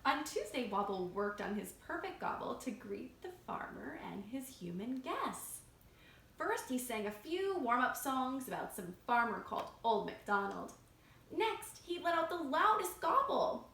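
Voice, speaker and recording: A woman reading a picture book aloud to children as a library storytime reader, expressive and engaging them directly. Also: high-pitched, measured pace, vocal fry. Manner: expressive, asking young listeners questions. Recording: roomy echo, faint background noise.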